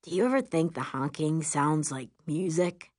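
Woman doing a relaxed, low-key teenage boy. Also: moderate pace, dry recording, studio quality. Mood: wistful. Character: Raph, relaxed teenage boy, narrator's sweetheart.

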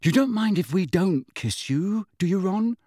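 Man doing a sarcastic teenage prankster, animated. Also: a little high, measured pace, no room sound, studio quality, voice pushed up. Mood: mock anxious. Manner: sarcastic, mock-anxious. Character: mocking, mischievous teenage twin brothers, sarcastic pranksters.

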